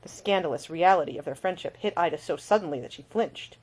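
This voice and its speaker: A woman reading her own fiction as an audiobook, in an expressive storytelling manner. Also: deep voice, fast talker, rough recording. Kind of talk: scripted fiction narration.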